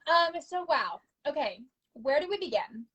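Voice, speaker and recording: A woman's voice: high-pitched, very animated, moderate pace, a bit bright, muffled recording. A woman hosting an interview over a video call, enthusiastic and effusive with her guest. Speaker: show host, interviewer. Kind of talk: casual interview chat.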